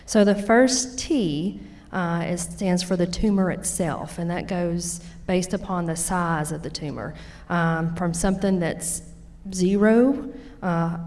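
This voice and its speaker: A woman's voice: lowish voice, darkish tone. A woman from Louisiana with a Louisiana Southern accent, a nurse practitioner giving a folksy conference talk that explains with everyday examples. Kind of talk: conference presentation. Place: conference hall.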